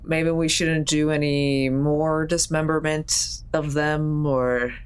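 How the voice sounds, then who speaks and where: Woman rpg player, deep voice, talks real slow, wry and dry, casual improv. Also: level tone, smooth. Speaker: player in a tabletop game. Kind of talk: casual in-character improvised chat.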